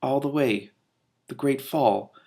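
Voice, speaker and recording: A man's voice: medium pitch, takes it slowly, vocal fry, dark tone, voice pushed up. Man reading as a panicky, moaning wizard. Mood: terrified. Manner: muttering, moaning, urgent. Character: panicky, moaning, fearful male wizard afraid of heights.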